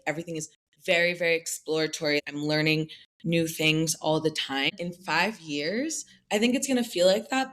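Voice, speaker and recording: A woman's voice: low voice, fairly bright tone. Young woman musing in an interview. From United States. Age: young adult. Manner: thoughtful, many "like" fillers, light laughs. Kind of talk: reflective interview answers.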